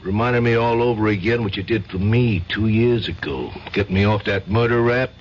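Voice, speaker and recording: An actor playing a streetwise, genial mobster with a slangy, tough, familiar way of talking in a radio drama. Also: male voice, lowish voice, steady tone, normal speed, natural voice. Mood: warm, probing. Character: streetwise male mobster, genial, loyal, quietly menacing. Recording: muffled, noisy 1970s radio recording.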